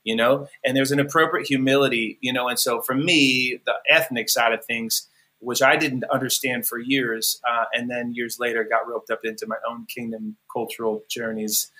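A man's voice: medium pitch, steady tone, moderate pace, pushed and bright, low-quality recording. A man from California, a musician guest on a podcast, talking earnestly and rambling with many restarts. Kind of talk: podcast interview.

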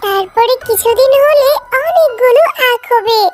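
A woman's voice: extremely high pitch, animated, measured pace, pitched above the performer's own voice. A woman voicing an eager, chirpy little fox girl in a children's cartoon story. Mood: encouraging. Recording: music behind the voice.

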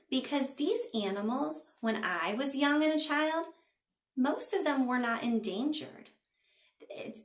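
A middle-aged woman, a teacher, doing an expressive read-aloud for young children in a small room. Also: on the high side, roomy sound. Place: small indoor room at a table.

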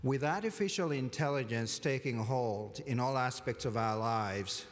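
A man giving a formal commencement address from a lectern, measured and deliberate with touches of dry humor. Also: mid-range voice. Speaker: college commencement speaker. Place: large hall at a lectern, indoors. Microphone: lectern microphone. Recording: long hall reverb.

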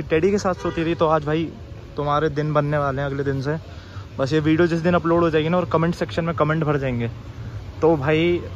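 A man's voice: fairly high pitch, dull audio, a little hiss or hum. A young man vlogging a cafe review on a phone held at arm's length, talking in a brash, mocking, slangy way. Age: young adult. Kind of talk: casual cafe review vlog. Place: busy cafe indoors. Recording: loud music and crowd in cafe.

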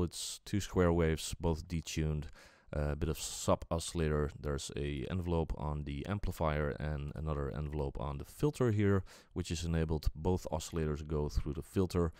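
A man presenting a casual music software tutorial, explaining step by step in an unscripted, halting way. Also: very deep voice, clear, resonant tone, dry recording, clean studio sound.

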